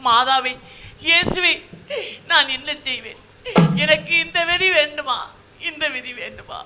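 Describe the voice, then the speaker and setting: A man's voice: very high-pitched, a little slow, voice pushed up. A man voicing a grieving handless young mother in a Tamil puppet drama, lamenting and pleading in cries. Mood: anguished wailing.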